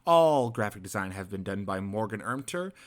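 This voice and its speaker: A man's voice: lowish voice, animated, normal speed. A man co-hosting a podcast in a casual, playful and joking manner. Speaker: podcast co-host.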